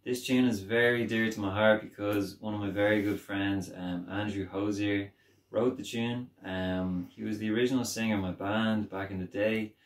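A young man chatting casually to camera about playing a guitar cover, relaxed, with lots of ums. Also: on the low side, moderate pace, recorded from a distance. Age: young adult. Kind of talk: casual video intro. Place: small wooden home studio cabin. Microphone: camera mic from a few feet away. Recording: roomy wooden room, off-mic.

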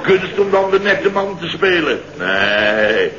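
An actor playing a flustered, indignant man who tells a farcical tale of woe in halting, repetitive bursts, in a radio comedy. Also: on the high side, sing-song, a crowd in the background. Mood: aggrieved. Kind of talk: comic radio play dialogue. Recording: dull, noisy early-1970s radio recording.

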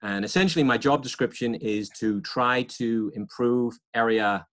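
A man in his forties, an environmental scientist, explaining his career to students over a video call and often pausing with uhs and restarts. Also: medium pitch, a bit bright. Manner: hesitant, many uhs and restarts. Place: home or office on video call, virtual background. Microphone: webcam or laptop mic on video call.

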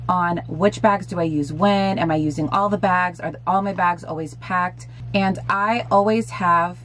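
A woman in her thirties, slightly hoarse, chatting upbeat to viewers as she shows things to the camera in a small bedroom. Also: on the low side, a little hiss or hum. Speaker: lifestyle vlogger. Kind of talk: casual product show-and-tell.

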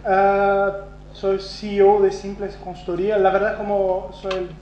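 A Brazilian man whose first language is Portuguese, giving a conference talk with a Brazilian accent, self-deprecating and halting, with many restarts. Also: high voice, monotone, a little mellow. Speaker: conference speaker. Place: conference hall. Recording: roomy, dull lo-fi sound with faint hum.

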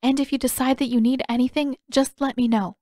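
A woman voicing a polite, dutiful young noblewoman with formal, measured phrasing in an audiobook story. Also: high-pitched, fairly even intonation, mellow, no room sound, studio quality, voice pushed up, close to an everyday voice. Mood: earnest, gentle.

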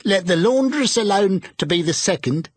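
A man voicing a bold, defiant charwoman with a shrill, scornful manner. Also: lively intonation, moderate pace, no room sound. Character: bold defiant charwoman, brash older woman.

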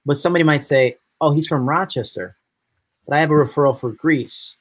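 A man's voice: on the high side, a little fast, no room sound. Chatty host man from upstate New York. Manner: chatty, animated, piggybacks on guests. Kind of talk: casual live video chat.

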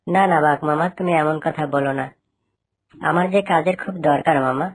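Woman voicing a low-pitched, plaintive male fox. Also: steady tone, normal speed. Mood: pleading. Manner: plaintive, pleading. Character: poor, worried, pleading male fox labourer. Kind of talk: cartoon dialogue.

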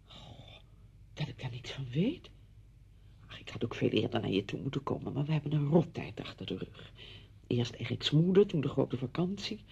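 A woman's voice: extremely low pitch, expressive, normal speed, creaky, a little mellow, slightly rough, highs cut off, exaggerated voice. An actress playing a chatty, apologetic married woman visiting a friend in a radio drama, effusive, rambling and flustered.

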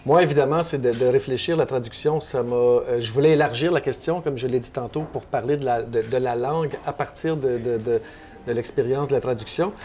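A man's voice: mid-range voice, brisk, mellow, slight background noise. A man in his fifties with a Québécois accent, a novelist guest on a book panel, talking thoughtfully with many restarts. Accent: Québécois French. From Quebec. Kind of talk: panel discussion. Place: bookstore, indoors.

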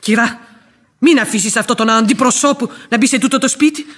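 An actress playing a blunt, stubborn, mocking housemaid and nanny in a radio play. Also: female voice, fairly high pitch, fairly bright tone. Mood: indignant. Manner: mocking, stubborn, plain-spoken. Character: blunt, outspoken, folksy old housemaid and nanny. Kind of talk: radio theatre acting. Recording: old radio drama recording.

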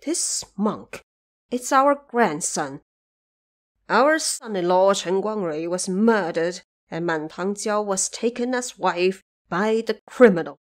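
A woman's voice: mid-range voice, expressive, slow pace. A dignified older statesman voiced by a woman speaks formally and solemnly. He is a resolute prime minister in an audio drama. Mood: grief-stricken.

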